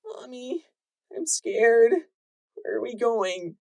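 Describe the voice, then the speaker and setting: A man's voice: extremely high pitch, sing-song, slow, darkish tone, no echo, pitched above the performer's own voice, exaggerated voice. A man doing a wailing little filly. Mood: frightened. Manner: wailing, pleading, tearful. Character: small frightened filly, crying for her mother.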